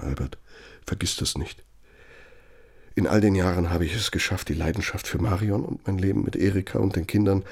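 An actor in a radio play as an aging, self-justifying architect with a Nazi past, speaking deliberately and emphatically in a gravelly, husky voice. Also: male voice, lowish voice. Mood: defiant, nostalgic. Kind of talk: German radio play monologue and dialogue.

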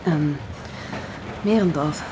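An actress playing a guarded young woman on the run, speaking haltingly in a naturalistic radio drama. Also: deep voice, background noise. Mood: disoriented, evasive.

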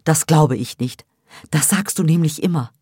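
A woman's voice: deep voice, expressive, normal speed, no room sound, clean studio sound. A woman voicing an exasperated, defiant adult daughter in an audiobook, arguing back firmly and with annoyance. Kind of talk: audiobook dialogue.

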